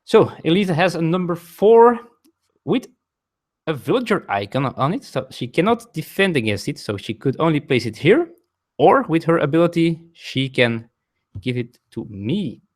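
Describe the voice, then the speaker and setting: A man with a non-native English accent talks through a board game from his table at home. His pitch rises and falls a lot as he explains each rule. He chats with viewers between turns and often stops to correct himself. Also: very animated, normal speed. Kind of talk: live-streamed board game play explaining rules.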